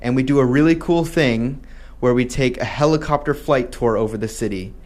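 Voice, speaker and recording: A man's voice: mid-range voice, normal speed, a little mellow. Friendly man explaining a tour. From Malaysia. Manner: friendly explaining, with a few restarts. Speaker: tour company host. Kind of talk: promotional Q&A.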